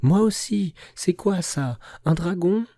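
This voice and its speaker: A tiny bear cub, played by a man, pitched well above an adult male voice. The little one is eager and innocent, speaking storybook baby talk in short broken phrases. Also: lively intonation, takes it slowly, mellow, dry recording, full character voice. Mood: eager, curious. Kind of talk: children's storybook character voice.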